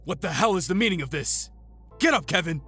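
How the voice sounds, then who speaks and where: A man's voice: sits high, lively intonation, a little fast, a bit bright, slightly rough, exaggerated voice. A man playing a proud, irritable teenage clan leader in a visual novel, commanding and sarcastic. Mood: angry. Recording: music behind the voice. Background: music.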